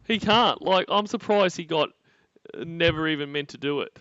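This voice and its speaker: A man's voice: fairly high pitch, normal speed, sharp tone, no room sound. A man cracking jokes and laughing often as co-host of a stream reaction. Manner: mocking, laughs a lot. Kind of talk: casual banter. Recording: dull, dry home mic.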